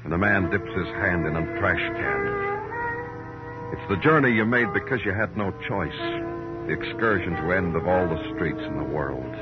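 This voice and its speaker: An actor playing a grim, world-weary American police detective in a 1950s radio noir, terse and hard-boiled. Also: male voice, sits low, huge pitch swings, measured pace, over loud music, full character voice. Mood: brooding, poetic. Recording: muffled old radio broadcast.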